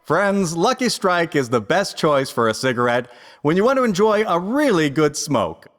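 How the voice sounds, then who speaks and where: A man playing a hearty 1950s radio announcer and sponsor's pitchman. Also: very animated, normal speed. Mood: hearty, selling.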